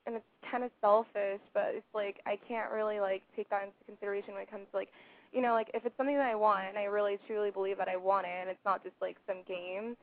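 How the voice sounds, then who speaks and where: A woman's voice: medium pitch, flat delivery, quick pace. A woman in her twenties calling in, hesitant and rambling with many fillers. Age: 20s. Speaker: caller. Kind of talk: casual phone call. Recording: dry tinny phone line.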